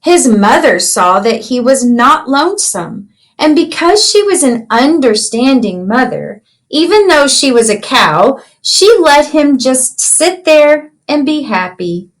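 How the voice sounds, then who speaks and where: A woman's voice: high-pitched, big pitch swings, takes it slowly. A woman reading a children's storybook aloud like a teacher, explaining things simply and checking in with young listeners.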